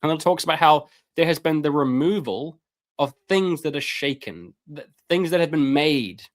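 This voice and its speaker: A man's voice: animated, normal speed. Man reading scripture on a live stream. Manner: reads scripture aloud then comments, rises in pitch when fervent; casual asides, repeats words, clears throat. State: voice going hoarse. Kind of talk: live-stream Bible teaching, reading passages aloud.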